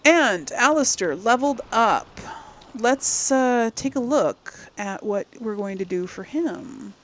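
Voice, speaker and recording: A woman's voice: on the high side, animated, takes it slowly, a little hiss or hum. A woman hosting a casual gaming let's-play, chatting and thinking aloud as she plays. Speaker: gaming channel host playing through a game.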